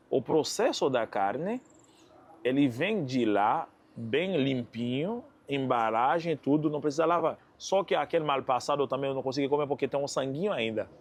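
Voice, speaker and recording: A man from Haiti, telling an amused anecdote in an interview. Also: medium pitch. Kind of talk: documentary interview.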